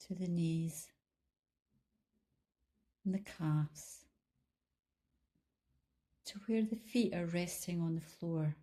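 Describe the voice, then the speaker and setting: Female meditation guide, lowish pitch and very slow delivery, calm and soothing, with generous pauses. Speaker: meditation guide, life coach. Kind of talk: guided body scan meditation, instructional.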